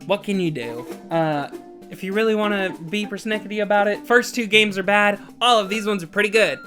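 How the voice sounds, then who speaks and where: A woman's voice: medium pitch, brisk, a bit bright, soft background music. A woman narrating a scripted video essay with opinionated, playful, self-deprecating casual asides. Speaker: video game reviewer and narrator.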